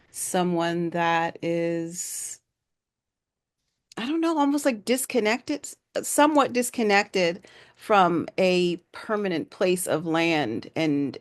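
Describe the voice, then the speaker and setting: Unhurried woman interviewer. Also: fairly low pitch. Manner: unhurried, affirming, many fillers. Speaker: artist host. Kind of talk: conversational interview.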